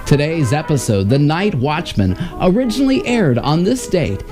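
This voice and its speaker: Lively male presenter over loud music. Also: a little high, moderate pace. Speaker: presenter of old radio episodes. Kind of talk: scripted promotional narration.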